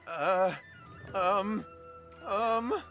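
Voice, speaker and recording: High-voiced, panicky young coward. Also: male voice, extremely slow, sharp tone, faint music behind, heavily acted. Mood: nervous, evasive. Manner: stammering, pleading, whiny. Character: panicky, evasive young man, cowardly and desperate. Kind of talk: video game voice acting.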